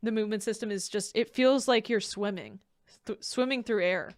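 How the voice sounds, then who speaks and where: Expressive, gushing woman streamer. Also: medium pitch, normal speed, no room sound. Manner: gushing, expressive, swears freely. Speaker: streamer reacting to a video. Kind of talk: casual reaction commentary.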